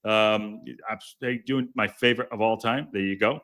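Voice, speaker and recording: A man hosting a podcast, chatting casually and jokingly with live viewers in a deep, strong voice, often stumbling and restarting his sentences. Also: steady tone, normal speed, a bit bright. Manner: rambling, self-interrupting, stumbles over words; chatty and jokey. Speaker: podcast host. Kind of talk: casual live-stream chat reading viewer comments.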